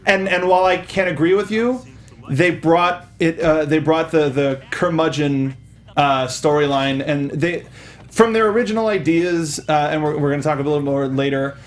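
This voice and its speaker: A man's voice: on the high side, normal speed. A man in his twenties hosting a casual film review chat with an enthusiastic manner. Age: 20s. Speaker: movie podcast host.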